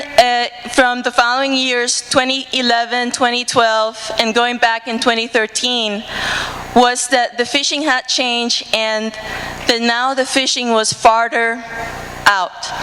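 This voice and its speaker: A woman's voice: fairly high pitch, pushed and bright. A woman from the Dominican Republic with a Dominican Spanish accent, telling a personal story at a conference in earnest English full of "uh" fillers and restarts. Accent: Dominican Spanish, speaking English. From Dominican Republic, Cibao region. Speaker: marine conservation researcher. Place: conference hall. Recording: hall reverb, faint room noise.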